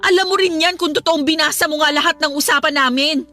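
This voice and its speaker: A woman's voice: sits high, quick pace, sharp tone, soft background music. A woman acting a hurt, defiant young mother in a radio drama, emotional and indignant as she argues with her partner.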